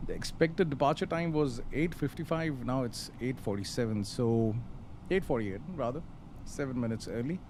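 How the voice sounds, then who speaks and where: A man giving casual live commentary on a flight simulator, explaining step by step with hesitant pauses. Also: mid-range voice, darkish tone, slight background noise.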